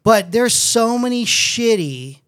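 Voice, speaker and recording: A man's voice: very high-pitched, expressive, slow, a bit bright, clear, resonant tone, no echo, studio quality. A man in his fifties co-hosting a podcast in casual comedic chat, opinionated, rambling and freely swearing. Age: 50s. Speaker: podcast co-host.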